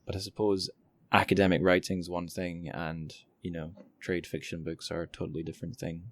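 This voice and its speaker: A man's voice: low-pitched, level tone, moderate pace. A man hosting a podcast interview, chatting casually and thoughtfully in a hesitant, roundabout way.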